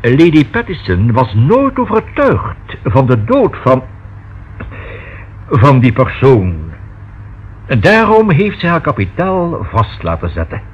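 A man's voice: medium pitch, huge pitch swings, breathy edge, exaggerated voice. A man acting a discreet, proper notary in a Dutch radio play, speaking formally and choosing precise words. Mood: patient, explanatory. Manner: formal, measured, precisely worded. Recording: dull, faintly noisy old radio recording.

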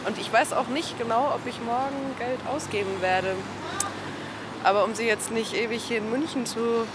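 Casual woman amid loud street noise. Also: a little high, moderate pace. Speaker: interviewed passer-by. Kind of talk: casual chat. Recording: loud traffic noise, outdoor field recording.

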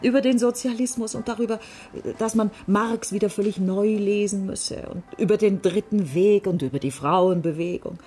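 A woman's voice: medium pitch, moderate pace, dark tone, breathy edge, natural voice. An actress playing a wistful, passionate woman confiding memories of a past love in a radio drama. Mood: fond, animated. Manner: confiding, reflective.

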